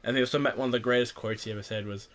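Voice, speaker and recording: A man's voice: medium pitch, fairly even intonation, rapid-fire, a bit bright. A young man hosting a casual podcast chat about film news, with a rambling, jokey, sweary manner. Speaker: podcast host.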